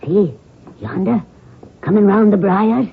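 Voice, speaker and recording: A woman's voice: medium pitch, lively intonation, slow pace, vocal fry, slightly rough, exaggerated voice. An actress playing an earnest, anxious young Irish woman in a 1970s radio drama. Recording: muffled 1970s radio broadcast.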